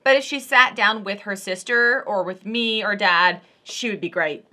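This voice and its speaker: A woman's voice: medium pitch, normal speed, sharp tone. A woman in her thirties giving a casual, unscripted product review as a vlog host, talking to viewers in an informal, folksy way.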